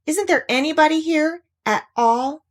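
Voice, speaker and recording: A woman's voice: high voice, measured pace, pitched above the performer's own voice. A woman voicing a friendly, naive, slightly greedy male toy bear in a children's storybook, puzzled and polite. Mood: curious calling. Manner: hums nonsense syllables, puzzled and polite.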